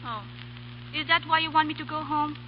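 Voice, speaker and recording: A woman's voice: high voice, steady tone, brisk, lightly acted. A woman with a foreign accent and broken English playing a devoted young girl in a 1930s radio drama. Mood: pleading. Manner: earnest, pleading, broken English. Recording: muffled hissy 1930s radio broadcast.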